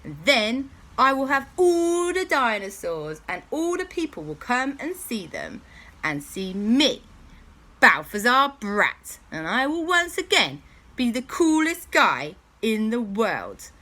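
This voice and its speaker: A vain, cackling villain of a children's story, pitched well above the teller's own voice and pushed loud, as a woman puts him on. He gloats and boasts, bright and lively in tone. The delivery bounces around the range. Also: slow, exaggerated voice. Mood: gloating.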